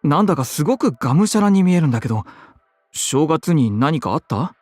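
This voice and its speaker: A man playing an effeminate, chatty, fussy and excitable young man in a Japanese visual novel, with feminine, expressive speech. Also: on the high side, racing, dry recording. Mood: concerned, chatty.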